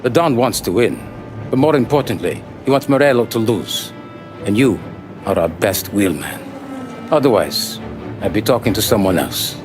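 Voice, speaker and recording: An American actor playing a firm, businesslike senior mobster in a cinematic crime game. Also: male voice, fairly low pitch, expressive, normal speed, over music, natural voice. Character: firm, businesslike male mob consigliere giving orders. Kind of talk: naturalistic cinematic game acting.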